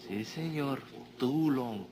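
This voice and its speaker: Un actor interpreta a un botones mexicano alegre y buscavidas, con acento mexicano y labia de vendedor, en un radioteatro de los años cincuenta. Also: male voice, mid-range voice, expressive, slow, warm tone. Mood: wheedling. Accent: Mexican Spanish. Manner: eager, wheedling salesman patter. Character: cheerful hustling Mexican hotel bellboy. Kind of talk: 1950s radio drama acting. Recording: hissy old radio recording.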